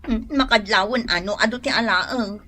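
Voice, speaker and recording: A woman's voice: on the high side, moderate pace, pushed and bright. A woman playing a cheeky village wife. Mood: chatty. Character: cheeky village wife who takes lemongrass.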